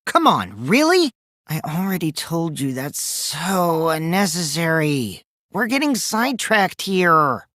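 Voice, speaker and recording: High, lively, mischievous teenage game boy. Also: takes it slowly, no room sound, studio quality, full character voice. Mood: scornful, then teasing. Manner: theatrical, teasing, swinging from cutesy to cold. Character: mischievous, taunting teenage boy, a compulsive liar. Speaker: video game voice actor. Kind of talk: animated game dialogue in a murder-mystery trial.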